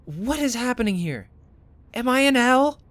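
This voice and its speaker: An actor playing a sarcastic, exasperated young man in an audio drama, incredulous and halting, with sweary outbursts. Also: medium pitch, big pitch swings, fairly bright tone. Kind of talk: naturalistic audio drama dialogue.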